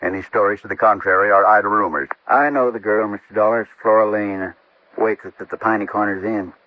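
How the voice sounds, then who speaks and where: An actor playing a mild, neighborly American country husband, loyal and conciliatory, in 1950s radio drama style. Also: male voice, fairly low pitch, fairly even intonation, fast, no echo, natural voice. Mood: reproving. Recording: muffled old-time radio recording.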